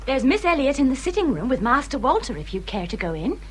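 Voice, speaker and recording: A flustered young housemaid in a period radio drama. Her voice is high and swoops up and down, with a deferential manner. Loud noise and clicks sit behind her. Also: sing-song, quick pace.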